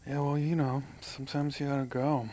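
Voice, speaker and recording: A man acts a sleepy, easygoing American high school boy with a crude streak. The voice is medium in pitch and mumbly, set against faint background ambience. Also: little pitch movement, brisk, mellow, a little hiss or hum, lightly acted. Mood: drowsy, laid-back. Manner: drowsy, slangy, mumbling. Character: groggy, laid-back foul-mouthed American teenage boy.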